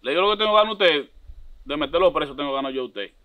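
A man's voice: a little high, lively intonation, racing, sharp tone. A man in his thirties with a Dominican accent, making an insistent, repetitive, confrontational prank phone call. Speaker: prank caller. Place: outdoors by a block wall.

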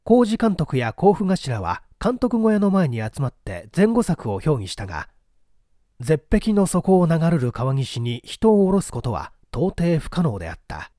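A man reads literary fiction as a dramatic narrator. His voice is mellow and middle-pitched, moving widely in intonation. It is recorded very dry. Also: sing-song, measured pace, no echo. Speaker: audiobook narrator, stage actor.

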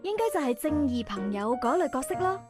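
A woman's voice: sits high, sing-song, a little fast. A woman voicing a cocky, teasing young lady thief in a visual novel, playful and theatrical, with a Hong Kong Cantonese accent. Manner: playful, theatrical, mock-villainous. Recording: game voice track over music.

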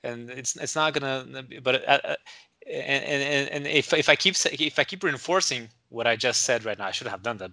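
A man in his thirties with a Brazilian accent, hosting through a headset on a video call. His voice is very bright and sharp, recorded dry and close, and he talks hesitantly with frequent restarts. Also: mid-range voice, dry recording. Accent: Brazilian Portuguese. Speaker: host. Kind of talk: casual interview chat.

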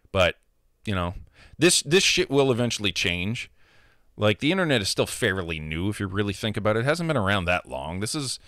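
A man in his thirties with a deep voice, ranting casually and profanely into a handheld mic held close, full of opinions. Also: a bit bright, no echo. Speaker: gamer vlogger. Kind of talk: casual, ranting monologue to camera. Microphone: handheld microphone held close to the mouth.

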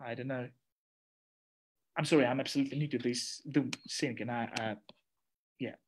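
A man's voice: mid-range voice, steady tone, moderate pace. A young man whose first language is Russian, opening an online society event on a video call, gesturing and searching for words. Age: young adult. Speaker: society organizer introducing speakers. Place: small room at home. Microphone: headset on a video call.

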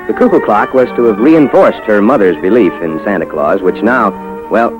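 A man's voice: on the low side, expressive, normal speed. An American man announcing in polished, emphatic scripted style on 1950s radio. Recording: dull vintage 1950s radio recording. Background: music.

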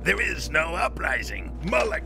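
A man's voice: on the high side, sing-song, moderate pace, creaky, over music. A man playing a pompous, irritable alien villain boss in a game cutscene, scornful and exasperated. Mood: scornful anger. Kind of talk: animated game cutscene dialogue.

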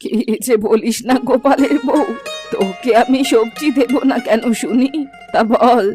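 A woman's voice: a little high, a little slow, a little mellow, natural voice. A woman voicing a chatty old village granny in a cartoon. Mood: chatty, kindly. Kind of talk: cartoon dialogue. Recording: background music and crowd bustle.

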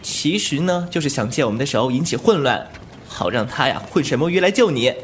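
A man's voice: medium pitch, sing-song, brisk, a little hiss or hum, natural voice. A man playing a cheeky young martial-arts hero in a wuxia audio drama, playful, mouthy and mock-grumbling. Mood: playful, sly.

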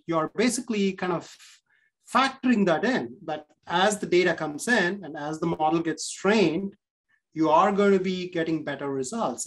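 A man with an Indian accent giving a measured, explanatory answer on a panel discussion over a video call. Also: on the high side, fairly bright tone, rough recording. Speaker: panelist, company CEO. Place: room with bookshelves.

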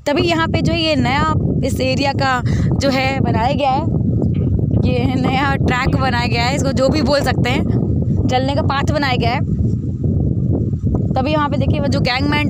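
Chatty young woman vlogging in loud wind. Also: high voice. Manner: chatty, talks straight to viewers while walking. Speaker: travel vlogger. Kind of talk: casual vlog narration. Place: outdoors on a windy railway bridge. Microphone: handheld phone at arm's length. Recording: loud wind noise outdoors. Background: strong wind noise.

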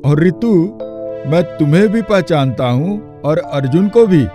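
A man voicing a kindly middle-aged company boss and indulgent father in a cartoon story, in a fatherly, measured way. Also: on the high side, expressive, over music. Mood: exasperated. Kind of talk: Hindi cartoon story acting.

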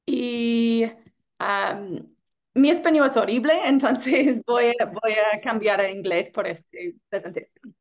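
A woman's voice: on the high side, slow. A woman in her thirties, a professor whose first language is English, speaking friendly but hesitant non-native Spanish on a video call from a room at home. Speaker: professor and panelist. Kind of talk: panel presentation opening. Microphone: webcam on a video call.

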